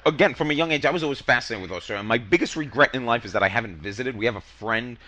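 A man's voice: mid-range voice, racing, sharp tone. A man co-hosting a casual chat, asking playful, jokey questions. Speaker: podcast co-host.

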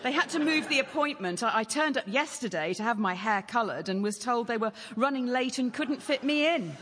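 A woman's voice: on the high side, animated, a little fast, noisy background. A woman improvising a comedy sketch in a prim, matter-of-fact manner. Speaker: panellist. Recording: live hall with audience.

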